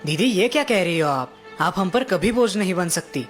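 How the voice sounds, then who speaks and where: A woman's voice: on the low side, expressive, moderate pace, soft background music, voice pushed down, natural voice. A woman voicing a caring teenage younger brother who speaks earnestly to his sister. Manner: earnest, protective.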